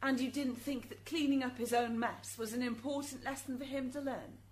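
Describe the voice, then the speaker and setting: A woman's voice: sits high, steady tone, normal speed, slight background noise, natural voice. A woman playing a relentless, accusing prosecutor in a radio drama, pointed, curt and insistent. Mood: probing.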